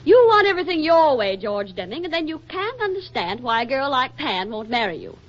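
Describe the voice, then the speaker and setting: A woman acting in a 1940s radio drama as a brisk, bossy, jealous young wife with an emotional, scolding delivery. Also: high-pitched, big pitch swings. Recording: muffled vintage 1940s radio recording.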